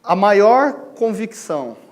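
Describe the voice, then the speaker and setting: A man's voice: high voice, very animated, slow. A Brazilian man preaching a sermon as a missionary in a conversational way, putting questions to his listeners and checking that they agree. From Goiânia, Brazil. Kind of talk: sermon to a congregation.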